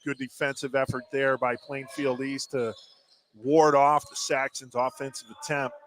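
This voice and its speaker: A man's voice: mid-range voice, little pitch movement, moderate pace, a bit bright, slight background noise. A man giving short live analysis between plays as a basketball color commentator.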